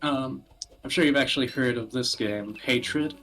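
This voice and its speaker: A man giving casual podcast commentary, explaining things in a wordy, halting way. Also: mid-range voice, a bit bright, rough recording. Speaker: podcast host presenting video games. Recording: music playing behind the voice. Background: background music.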